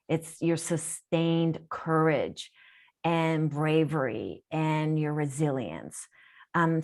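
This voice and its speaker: A woman with a low voice gives a caller advice. She explains at length and often restarts her phrases. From Japan. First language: Japanese. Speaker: healer answering a caller. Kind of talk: live group call, casual guidance.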